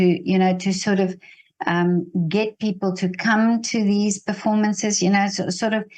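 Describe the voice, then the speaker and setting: A woman interviewing in a casual, conversational way, thoughtful and hesitant as she builds her point. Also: medium pitch. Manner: hesitant, thoughtful, halting phrasing.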